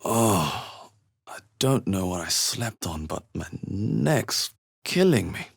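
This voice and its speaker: Watchful adventurer, lower-pitched, husky, wry measured asides, fantasy video game hero. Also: male voice, lively intonation, heavily acted. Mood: wry, determined. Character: adventurer hero, male, watchful, wry, muttering observations while exploring. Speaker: player character in a fantasy role-playing game.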